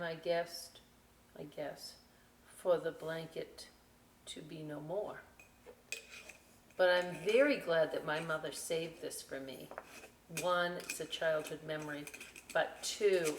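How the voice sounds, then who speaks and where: A woman presenting a children's story time, reading aloud with chatty, animated, folksy asides straight to young viewers. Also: deep voice. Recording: roomy, distant mic with faint hiss.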